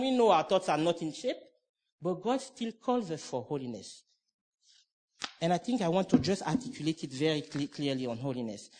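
A man's voice: fairly high pitch, a little mellow. A middle-aged man from Cameroon preaching a sermon, emphatic and conversational, with restarts and asides.

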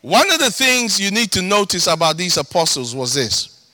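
A man with a Ghanaian accent preaching a sermon as pastor, animated, breaking into shouts and sing-song emphasis. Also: high-pitched, normal speed.

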